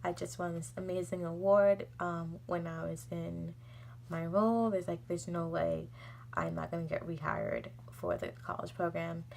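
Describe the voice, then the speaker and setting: A woman's voice: fairly low pitch, steady tone, moderate pace, gravelly, darkish tone, a little hiss or hum. A young woman telling a personal story in a casual, exasperated, rambling vlog style. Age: young adult. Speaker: vlogger.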